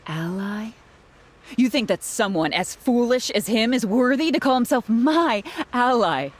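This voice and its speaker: A woman's voice: on the high side, expressive, a little slow. A woman voicing a bitter, cornered female villain and turncoat guard in a video game, with a scornful, theatrical, volatile delivery. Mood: bitter, anguished. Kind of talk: dramatic video game voice acting.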